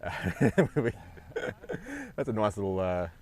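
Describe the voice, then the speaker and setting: A man commentating a cricket match live, chatty and quick to laugh. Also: lowish voice, lively intonation, darkish tone. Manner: chatty, laughs easily, many restarts.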